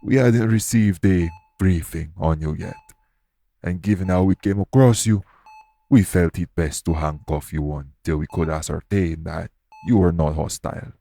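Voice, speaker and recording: A man playing a calm, courteous iguana-shifter archaeologist in an audio roleplay, speaking in a formal, measured, reassuring way. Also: low voice, gravelly. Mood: measured, explanatory. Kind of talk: audio roleplay acting addressed to the listener.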